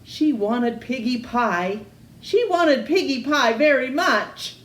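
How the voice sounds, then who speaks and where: Lady reading a kids book real slow, lots of up and down in her voice, roomy echo. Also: high-pitched. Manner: expressive, slow storytelling. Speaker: storytime reader. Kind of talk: reading a picture book aloud to children. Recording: echoey room.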